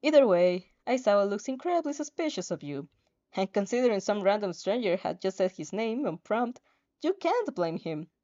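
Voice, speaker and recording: Woman, mellow soft-edged tone, casual read-aloud, giggly, stumbles on words, slightly dull lo-fi recording. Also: medium pitch, sing-song, moderate pace. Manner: giggly, stumbles over words while reading. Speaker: host reading and reacting to fan fiction.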